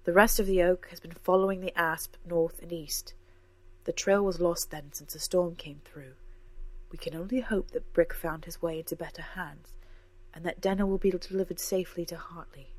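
A woman reading aloud, voicing a calm, impassive young woman who speaks softly and measured. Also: on the low side, lightly acted. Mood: grave. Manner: soft, measured, hushed.

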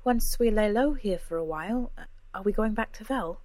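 A woman's voice: mid-range voice, normal speed, darkish tone, dry recording, voice pushed up, natural voice. A woman reading aloud, voicing an anxious, impulsive young woman who pleads and insists. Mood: hopeful. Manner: pleading, insistent, rising to cries.

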